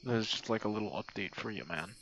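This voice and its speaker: A man's voice: lowish voice, fast, fairly bright tone, a little hiss or hum, low-quality recording. A man recording a casual ASMR-style voice message to a friend, rambling and hesitant in an informal way. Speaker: content creator recording an audio message. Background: crickets and night insect sounds.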